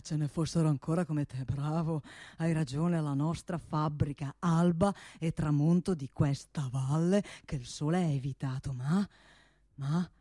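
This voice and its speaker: A woman's voice: low voice, dark tone, no echo, clean studio sound, voice pushed down. A woman voicing a pompous, bullying male arms-factory owner, blustering and folksy, tagging his talk with "eh?" in a comic theatrical monologue. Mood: stern, bullying.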